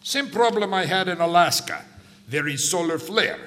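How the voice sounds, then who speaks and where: A bumbling Russian spy drifting in a balloon, a sly, cheerful schemer with broken English and a heavy put-on Russian accent. The voice sits high and bright with a sharp edge. It rings out in a roomy hall reverb with music behind it. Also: male voice, sing-song, normal speed. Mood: deadpan. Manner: broken English, jokey, evasive.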